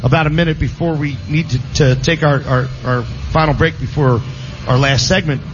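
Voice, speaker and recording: Man, sports radio host, fairly high voice, muffled lo-fi sound with light hiss. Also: steady tone, normal speed. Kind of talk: casual sports radio chat. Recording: dull lo-fi broadcast with faint hiss.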